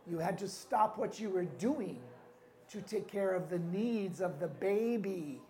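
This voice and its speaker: A woman's voice: fairly low pitch, animated, a little slow, a little mellow, a little hiss or hum. A woman storyteller playing a wise old woman who speaks in an inviting, instructive manner. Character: wise old woman, calmly teaching a proud chief. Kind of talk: live oral storytelling.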